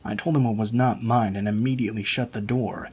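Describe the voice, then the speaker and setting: A man narrating true horror stories in a steady, even storytelling read. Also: lowish voice, brisk, breathy edge.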